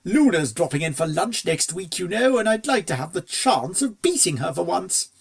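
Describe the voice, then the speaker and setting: A reader's take on a fussy, posh gentleman stallion, creaky-voiced. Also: mid-range voice, sing-song, normal speed. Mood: mildly dismayed. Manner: posh, dithering, old-fashioned turns of phrase. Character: genteel, fussy, good-natured upper-class gentleman stallion. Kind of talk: storybook character dialogue.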